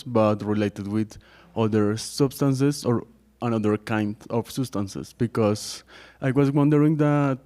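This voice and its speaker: An academic asks a question from the conference floor in English. His voice is low and dark. He speaks carefully and searches for his words. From Colombia. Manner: searching, filler-laden, careful. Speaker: audience member, academic researcher. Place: conference room.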